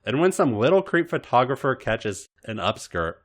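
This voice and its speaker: A man's voice: medium pitch, very animated, moderate pace, heavy background noise, lightly acted. A man voicing a fond, worldly woman who mentors a younger friend, coaxing with pet names in a story reading. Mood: coaxing, flustered.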